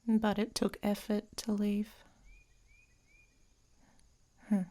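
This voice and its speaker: A woman playing a grumpy, guarded female werewolf in an intimate ASMR roleplay, speaking in a halting, breathy, often whispered murmur. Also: mid-range voice, completely flat delivery, warm tone, lightly acted. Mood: dry, caring.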